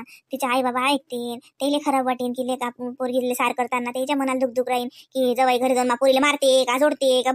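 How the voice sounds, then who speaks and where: Woman acting fast, high-pitched, coaxing Marathi sister-in-law. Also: no room sound, studio quality, close to an everyday voice. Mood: pleading, reassuring. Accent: Vidarbha Marathi. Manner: coaxing, preachy, frequent questioning tags. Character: earnest, persuasive sister-in-law counselling a young man. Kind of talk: cartoon dialogue in rural dialect.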